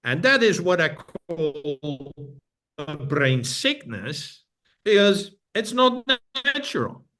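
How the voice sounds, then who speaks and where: A man's voice: fairly high pitch, big pitch swings, takes it slowly, sharp tone. A middle-aged man from the Netherlands with a Dutch accent, speaking as an interview guest in a thoughtful, repetitive way with frequent restarts. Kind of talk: casual interview talk.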